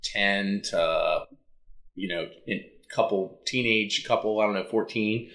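A man's voice: on the low side, a little slow, fairly bright tone. A man in his thirties chatting casually, laid-back and filler-heavy. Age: 30s. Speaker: guest. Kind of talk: casual chat.

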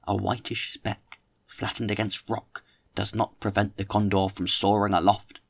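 A man's voice: on the low side, little pitch movement, moderate pace, creaky, no room sound. A man voicing a pompous, tipsy young orator who preaches grandly at a dinner in an audiobook. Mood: lofty.

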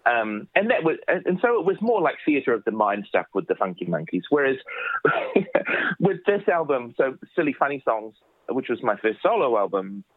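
A man's voice: mid-range voice, very animated, normal speed, no echo. A man, a children's musician, chatting casually in an interview with many restarts, from his home office. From New Zealand. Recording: dry phone-like line.

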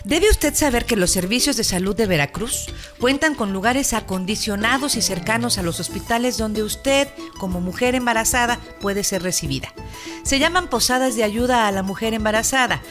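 A woman's voice: a little high, lively intonation, normal speed, lightly acted. A woman with a Mexican accent playing a formal, helpful health hotline operator in a scripted radio spot. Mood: courteous. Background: music.

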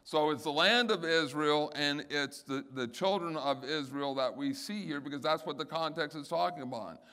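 A man's voice: fairly high pitch, sharp tone, clean tone. Male Bible teacher in a reverberant hall. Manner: halting, restarts phrases, slows on key words.

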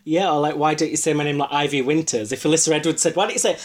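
A man's voice: fairly high pitch, racing. A man co-hosting a casual chat, talking in a chatty, teasing way and steering the conversation. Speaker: podcast co-host.

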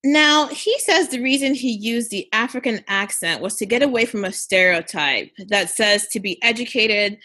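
A woman interviewer asking questions in a measured, thoughtful way with some hesitation. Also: a little high, sharp tone, no room sound.

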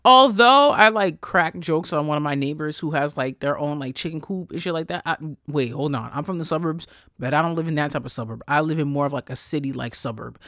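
A man with a high voice hosts a casual solo podcast, talking into a close mic in a dry, deadened space. He rants in an animated, profane way, swinging between loud bursts and quiet asides. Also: brisk, few pauses, no room sound. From Haitian family. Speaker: podcast host.

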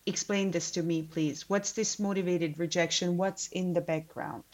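A woman's voice: on the low side, steady tone, moderate pace, a little mellow. A woman whose first language is Hungarian, hosting a podcast, reading an appeal and then asking questions with some fillers. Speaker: podcast host. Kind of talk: podcast interview and sponsor read.

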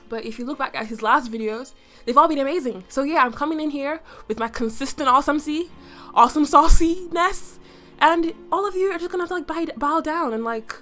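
A young adult woman hosting a casual solo podcast, her voice slightly raspy from a cough, chatty and full of fillers with playful boasting. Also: fairly high pitch, fairly bright tone. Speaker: podcast host.

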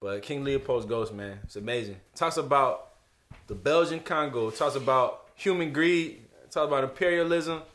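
A man co-hosting a casual podcast chat, animated and emphatic, often repeating himself, with a slightly hoarse voice. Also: medium pitch, moderate pace. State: hoarse from arguing. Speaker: podcast co-host.

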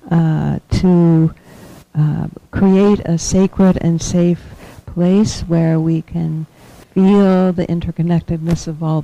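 A soft-spoken woman giving a gentle, measured talk as a guest teacher in a temple hall. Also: fairly low pitch, gravelly. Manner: gentle, measured, with small laughs. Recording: dull lo-fi recording.

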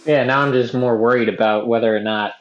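A man's voice: mid-range voice, steady tone, a little fast, echoey room, slight background noise. A young man co-hosting a podcast in casual chat, rambling with self-mocking jokes. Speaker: podcast co-host. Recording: dull lo-fi sound.

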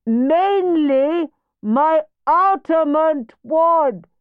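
A woman playing a vain, pompous art teacher in a children's audiobook, with grandiose, drawn-out delivery and mangled fancy words. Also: high-pitched, animated, no echo, phone-quality sound, exaggerated voice. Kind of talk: theatrical children's audiobook character acting.

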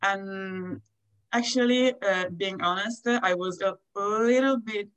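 A young woman from Italy with an Italian accent, a college athlete answering interview questions haltingly as she searches for words in her second language. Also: medium pitch, clean tone. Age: young adult. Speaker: college tennis player being interviewed.

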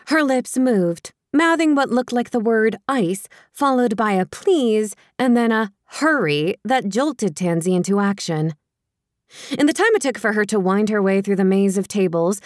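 Female audiobook narrator for light romantic fiction, mid-range voice, wry and expressive, very dry recording. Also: mid-range voice, no echo.

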